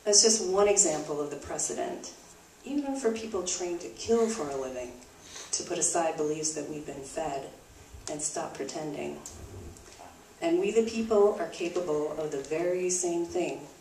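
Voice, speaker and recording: A woman's voice: deep voice, normal speed, mellow. A woman presenting a scripted live show in a theatrical, conversational storytelling manner. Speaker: puppet show performer and presenter. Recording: roomy, distant mic, faint noise.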